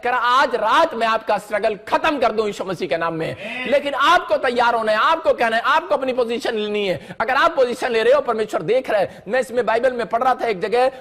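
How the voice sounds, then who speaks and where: Male pastor, extremely high voice, emphatic and repetitive sermon exhortation. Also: a bit bright. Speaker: pastor preaching.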